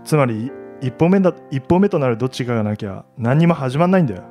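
A man's voice: mid-range voice, animated, quick pace, dark tone, faint music behind, lightly acted. A man playing a cynical young university student in a radio drama, blunt and offhand. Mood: sulky resigned. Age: young adult. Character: jaded, sulky young male university student.

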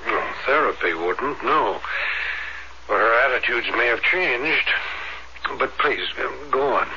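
A man playing a calm, probing psychiatrist in an old-fashioned radio drama, measured, professional and authoritative. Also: mid-range voice, exaggerated voice. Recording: dull, noisy old radio recording.

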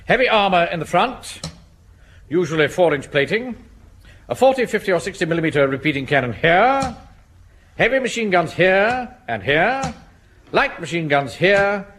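A pompous, officious English army captain in a vintage radio sitcom. His pitch is fairly high and swings with emphasis as he lectures in clipped phrases. A light hiss sits on the old recording. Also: very animated, normal speed, a bit bright. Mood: officious, brisk. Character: pompous middle-aged male Home Guard captain briefing his platoon. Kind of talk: 1970s British radio sitcom acting.

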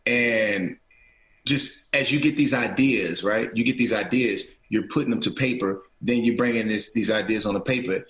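A man's voice: lowish voice, normal speed, a bit bright. Earnest man in an echoey room. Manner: earnest, repeats and restarts phrases, says "right?" often. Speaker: guest, actor and producer. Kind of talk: casual interview talk.

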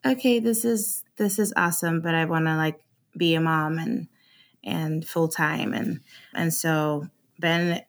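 A woman's voice: sits low, steady tone, a little slow. A woman in her thirties from Sweden, a podcast guest talking openly and casually with frequent laughs and fillers. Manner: open, laughs easily, many fillers.

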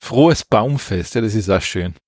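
A man's voice: fairly low pitch, expressive, measured pace, darkish tone, dry recording, studio quality. A man with an Austrian accent co-hosting a podcast in casual, opinionated chat, often repeating words as he starts a thought. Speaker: podcast co-host.

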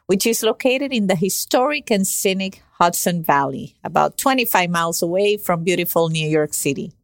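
A woman's voice: fairly low pitch, no room sound, studio quality. A woman hosting a podcast interview, enthusiastic and chatty, with many fillers. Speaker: podcast host.

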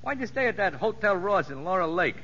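A man's voice: high-pitched, very animated, brisk, bright, forceful tone, a little hiss or hum, exaggerated voice. An American man playing a cocky, nosy veteran convict safecracker with brash, slangy wisecracks in old radio comedy-drama style. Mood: brash, teasing. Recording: muffled old radio broadcast.

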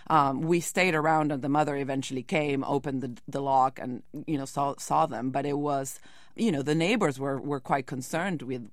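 A woman's voice: rumbling low, no room sound, clean studio sound. A woman reporter answering questions in a radio interview, explaining with searching phrasing and hedging asides. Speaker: investigative reporter being interviewed.

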